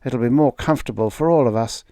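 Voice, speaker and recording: A woman's voice: very deep voice, quick pace, dry recording, clean studio sound, voice pushed up. A man reading aloud, voicing a young woman who firmly stands up to her bigoted father. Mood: resolute. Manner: firm, quietly resolute.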